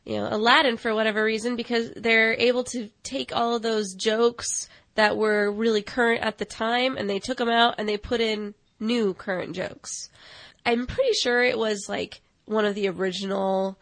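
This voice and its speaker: A woman's voice: on the high side, steady tone, moderate pace, a bit bright, no echo. A woman co-hosting a film podcast, chatting in a loose, conversational storytelling way peppered with "like". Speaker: podcast co-host reviewing animated films. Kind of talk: casual chat.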